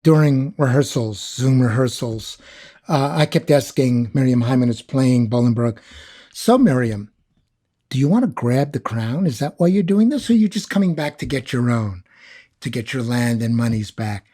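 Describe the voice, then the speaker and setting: A man's voice: mid-range voice, animated, normal speed, mellow. A man speaking as an academic expert, giving analytical commentary in an interview.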